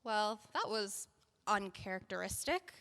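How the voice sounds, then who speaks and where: An actress playing an earnest book editor in an audio drama, hesitant and placating. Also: female voice, mid-range voice, expressive, a little slow, a bit bright. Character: young woman editor, earnest and sympathetic. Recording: long hall reverb.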